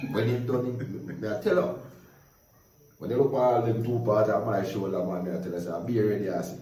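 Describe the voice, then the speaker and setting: A Jamaican man tells stories in a lively, laughing way, his voice sitting low and deep. He is caught from some distance away, so the sound is very roomy and echoey, with a faint hiss underneath. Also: moderate pace. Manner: lively, laughing, animated storytelling in patois. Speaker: guest storyteller. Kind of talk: casual storytelling chat.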